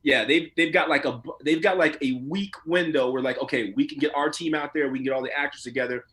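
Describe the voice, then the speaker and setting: A man who works as an actor, talking casually and colloquially as an interview guest, telling stories in an animated way. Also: fairly high pitch, fast. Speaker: voice and motion capture actor being interviewed.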